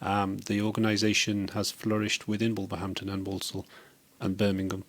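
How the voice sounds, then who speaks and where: A man's voice: lowish voice, completely flat delivery, a little fast. A man hosting a community radio interview, upbeat and encouraging, asking the guest questions and praising his answers. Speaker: radio host.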